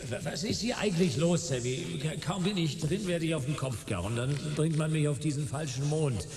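An actor playing a bewildered, dazed private detective in a radio play, halting and stammering as he questions things. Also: fairly high pitch, darkish tone, soft background music, lightly acted. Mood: agitated, insistent. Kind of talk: radio play dialogue acting. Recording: echoey hall with long reverb, faint noise.

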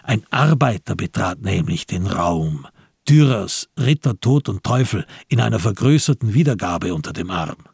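A man narrating a literary radio drama in a measured way with dramatic emphasis. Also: lowish voice, animated, vocal fry, a little mellow, husky, dry recording, studio quality.

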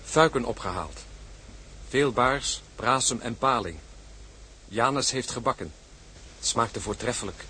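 Hearty ship's mate, noisy background. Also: male voice, mid-range voice, expressive, measured pace, pauses often, sharp tone. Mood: quiet, reporting. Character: hearty, loyal ship's mate, blunt and joking. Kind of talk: Dutch radio drama acting.

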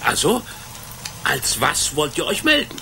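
A bemused blacksmith in a medieval radio drama. He grumbles hesitantly in a folksy way, with a bright, animated voice over faint ambience. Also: fairly high pitch, unhurried, vocal fry, slight background noise, exaggerated voice. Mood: baffled, sceptical. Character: simple, puzzled, good-natured medieval blacksmith. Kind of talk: German radio play acting.

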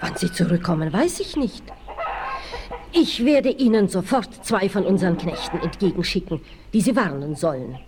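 A woman's voice: fairly low pitch, expressive, normal speed, noisy background, natural voice. A woman playing an anxious, earnest farmer's wife in a 1960s German radio play. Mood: alarmed. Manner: anxious, exclamatory. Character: alarmed, earnest farmer's wife, hospitable and capable. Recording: old vinyl, busy farmyard effects.

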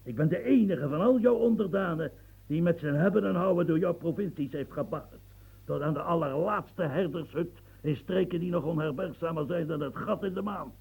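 An actor in a radio play voicing a husky, fiery old marshal in his seventies, who rants and stammers when flustered. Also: a little high, a little fast, darkish tone, low-quality recording. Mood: impassioned. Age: 70s. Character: old marshal of seventy-three, fiery, long-winded reformer.

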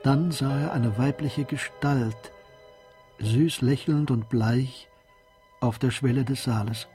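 A man narrating a literary German radio drama in a measured, composed storytelling manner. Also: medium pitch, dark tone, soft background music.

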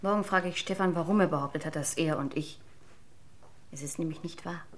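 A woman's voice: sits low, a little fast, slight background noise, close to an everyday voice. An actress playing an insistent, distressed woman in a radio crime drama, with an emphatic, pleading manner. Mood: soothing, insistent.

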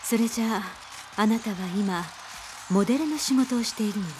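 A woman's voice: fairly high pitch, noisy background, close to an everyday voice. Composed, grave woman psychotherapist played by an actress. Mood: calm, probing. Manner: measured, calm questioning. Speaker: voice actor. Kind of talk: radio drama acting and narration.

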